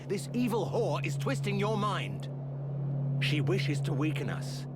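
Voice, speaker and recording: An actor playing a proud, suspicious elven warrior in a video game, impassioned and declamatory in archaic formal speech. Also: male voice, high-pitched, sing-song, measured pace, over loud music. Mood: accusing. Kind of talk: video game cutscene acting.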